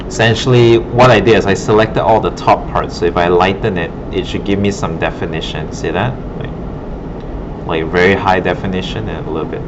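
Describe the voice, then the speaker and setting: A man giving an informal classroom art demonstration, thinking aloud casually as he works. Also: low voice. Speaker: digital art instructor. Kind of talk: informal classroom demonstration, narrating while working. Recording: muffled, broken audio with loud room hum.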